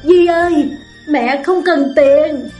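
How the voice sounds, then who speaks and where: A woman's voice: a little high, unhurried, darkish tone, faint music behind, close to an everyday voice. A woman voicing a poor, anguished old mother. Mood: pleading. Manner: pleading, tearful.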